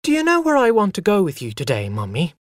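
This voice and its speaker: A man voicing an eager, demanding small boy in a story, loud, insistent and pleading. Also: a little high, wildly swooping pitch, measured pace, no room sound, studio quality, pitched above the performer's own voice, full character voice.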